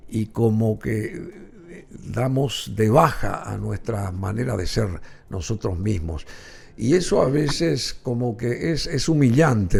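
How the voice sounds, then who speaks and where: A man's voice: mid-range voice, gravelly, darkish tone, breathy edge. A male radio host with a Paraguayan Spanish accent, interviewing a guest, effusive in his thanks and rambling with many fillers.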